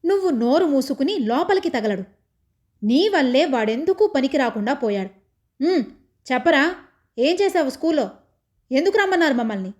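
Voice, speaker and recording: A woman voicing a furious, bullying middle-aged father who shouts, sneers and hurls abuse at his family. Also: high voice, very animated, normal speed, pauses often.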